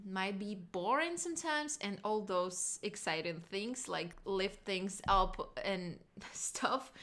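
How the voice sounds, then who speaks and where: A woman's voice: mid-range voice, a bit bright. A young woman vocal coach with a non-native English accent, giving a casual video lesson in a chatty, emphatic way and repeating her key words. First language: not English.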